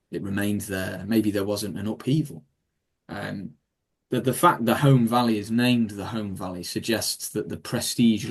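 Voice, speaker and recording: A man, a history speaker, answering audience questions in a rambling way with many ums and restarts over a video call. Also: on the low side. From England. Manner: rambling, self-correcting, with frequent ums and restarts. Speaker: guest speaker on Viking history. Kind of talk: Q&A after a talk.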